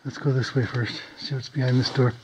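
A man's voice: mid-range voice, level tone, a little fast, highs cut off, background noise. A man exploring an abandoned mine, casually thinking aloud about what he finds. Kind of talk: casual narration while exploring. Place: inside an abandoned underground mine.

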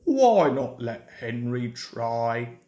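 A woman's voice: sits low, expressive, extremely slow, darkish tone, roomy sound, voice pushed down, exaggerated voice. A woman voicing a proud, pompous male steam engine in a children's storybook, grumbling and haughty. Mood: scornful.

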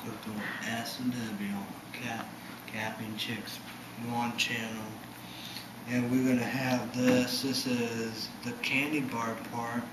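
Slow, rambling young man in a roomy kitchen. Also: mid-range voice, level tone. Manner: rambling, halting, self-correcting. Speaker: home cooking vlogger. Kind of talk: casual cooking demo to camera. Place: home kitchen, indoors. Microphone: camera mic from across the room. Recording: roomy home video with loud hiss.

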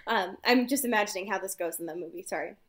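A woman's voice: mid-range voice, quick pace. A young woman co-hosting a book podcast, recapping chapters in a chatty way, swearing and laughing often. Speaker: podcast co-host. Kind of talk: casual book recap chat.

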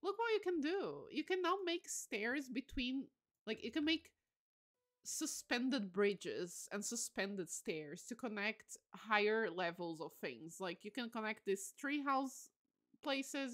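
A woman live-streaming a game, talking casually to her viewers. Her pitch swings widely and expressively as she gushes and exclaims over what she sees. She sounds excitable and informal. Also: unhurried. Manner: excitable, gushing, exclaims often.

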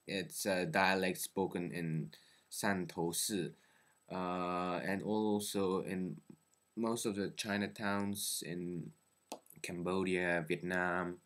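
A man's voice: low-pitched, level tone, takes it slowly, fairly bright tone. A young man in his twenties, a native Teochew speaker, talks to his webcam from a small bedroom, hesitant, with many fillers and self-corrections. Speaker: vlogger. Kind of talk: casual talk to camera. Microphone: webcam at close range.

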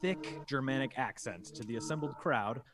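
A man's voice: medium pitch, normal speed. A man reading scripted stage directions as the narrator of a table read. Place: indoors on a group video call.

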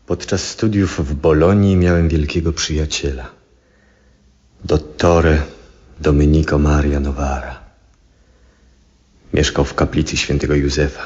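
In a dull vintage radio play recording, an aging astronomer, a brooding man with compassion. His voice is extremely deep, and he delivers his monologue in an earnest, measured, reflective way. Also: fairly even intonation, darkish tone. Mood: pensive reminiscence. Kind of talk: 1970s Polish radio drama monologue.

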